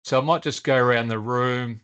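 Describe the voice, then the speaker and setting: A man running a workshop meeting, relaxed and loose with his fillers. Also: medium pitch, moderate pace, clean tone, dull audio. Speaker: meeting facilitator. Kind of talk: workshop introductions. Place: meeting room.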